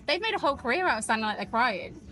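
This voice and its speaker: A woman hosts a casual interview with a musician. She is chatty and upbeat and laughs easily. Her voice sits on the higher side. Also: sing-song, rapid-fire, pushed and bright.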